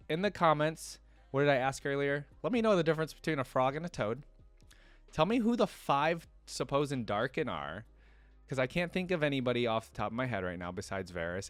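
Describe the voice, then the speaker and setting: A man's voice: medium pitch, no room sound. A man hosting a reaction video, talking in a casual, enthusiastic and rambling way.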